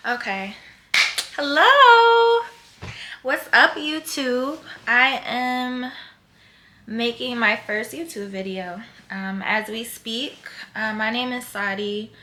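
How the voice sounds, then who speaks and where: A woman's voice: on the high side, takes it slowly, sharp tone. A woman, chatting casually to camera as a first-time vlogger, rambling with lots of fillers. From Philadelphia, USA. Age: 20s. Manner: blunt, heated and cursing when ranting.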